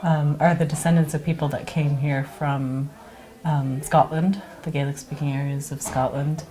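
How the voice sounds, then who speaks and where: A woman from Nova Scotia reflecting in an interview, thoughtful and hesitant with many pauses. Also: low voice, fairly even intonation, mellow.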